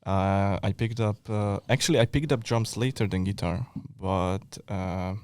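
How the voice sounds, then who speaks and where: A man's voice: lowish voice, measured pace, a little mellow, no echo. A young man from Hungary with a Hungarian accent, co-hosting a casual podcast in English, a non-native speaker who hesitates with fillers and restarts. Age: young adult. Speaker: podcast co-host. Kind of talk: casual chat. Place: indoor room, seated in front of camera.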